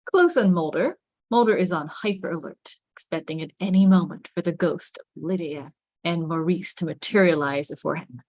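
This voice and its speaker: A woman narrates a screenplay at a table read in a slightly low voice. The sound is dry and close, and she phrases the directions with suspense and emphasis. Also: normal speed, a little mellow, dry recording. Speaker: narrator reading stage directions.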